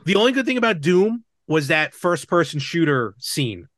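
A man's voice: fairly high pitch, big pitch swings, normal speed, a bit bright, no echo, clean studio sound. A man hosting a podcast, chatting casually about movies and games. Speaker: podcast host.

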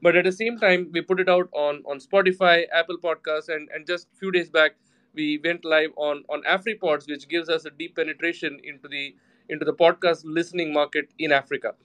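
A man's voice: on the high side, steady tone, fairly quick, a bit bright. A man hosting a live podcast chat, welcoming guests and asking questions while repeating words and restarting his phrases often. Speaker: podcast host.

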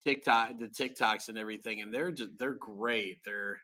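A man's voice: fairly low pitch, steady tone, normal speed, pushed and bright. A man co-hosting a casual live podcast, eager and rambling, often restarting his sentences. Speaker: podcast co-host.

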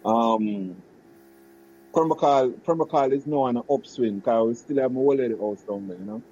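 Mellow Jamaican man reminiscing. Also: medium pitch, husky, dull audio. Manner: patois-laced, halting, many restarts and fillers. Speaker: guest recalling childhood. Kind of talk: casual reminiscing chat.

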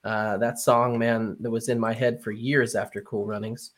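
Medium-pitched man hosting a casual debate. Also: flat delivery, moderate pace. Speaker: podcast host.

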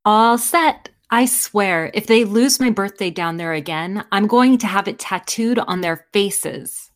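A woman's voice: mid-range voice, a little slow, close to an everyday voice. A woman playing a plucky, teasing teenage girl, a young sweetheart in a fan audio drama.

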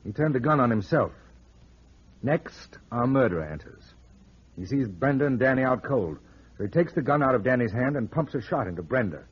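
An actor playing a shrewd, sardonic private detective in an old-time radio drama. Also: male voice, medium pitch, animated, brisk, slightly rough. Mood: explaining, wry. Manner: sardonic, explaining, teasing. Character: wisecracking, shrewd male private detective, smooth with women. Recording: muffled vintage radio drama recording.

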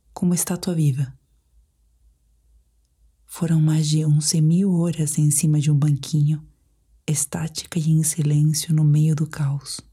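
A Brazilian woman guiding a sleep meditation in a soft, whispery, breathy voice, speaking in a calm, measured, soothing way. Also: deep voice. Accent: Brazilian Portuguese. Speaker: meditation guide.

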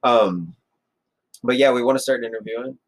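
A young adult man co-hosting a casual podcast, riffing and joking in an excitable, lively way. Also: mid-range voice, normal speed. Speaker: podcast co-host. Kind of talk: casual chat.

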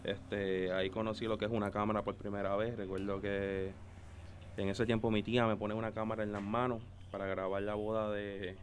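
A man's voice: on the low side, flat delivery, brisk, a little hiss or hum. A man in his twenties with a Caribbean Spanish accent, telling his story casually as a podcast guest. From Dominican Republic and Puerto Rico. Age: 20s. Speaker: podcast guest, photographer and videographer.

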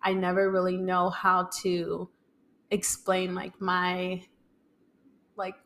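A woman's voice: lowish voice, completely flat delivery, slow, fairly bright tone. A young woman, speaking casually and tentatively as a podcast guest, thinking as she goes. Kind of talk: casual personal conversation.

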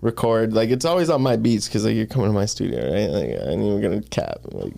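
A man's voice: lowish voice, big pitch swings, fast, gravelly, dark tone. A young man talking casually about his beat making and mixing work, rambling and packing every sentence with "like". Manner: rambling, says "like" constantly. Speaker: guest, beat maker and mixing engineer. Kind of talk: casual chat.

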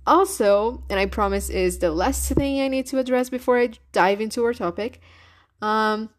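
A woman's voice: on the high side, normal speed. Brazilian-accented young woman hosting a podcast. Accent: Brazilian Portuguese. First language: Portuguese. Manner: animated, frequent laughs, rhetorical questions. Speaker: podcast host. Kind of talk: solo opinion podcast.

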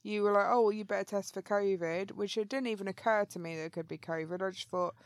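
A woman's voice: medium pitch, fairly even intonation, quick pace, no room sound. A woman co-hosting a podcast, chatty and rambling, her voice phlegmy and congested. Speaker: podcast co-host. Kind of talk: casual podcast chat.

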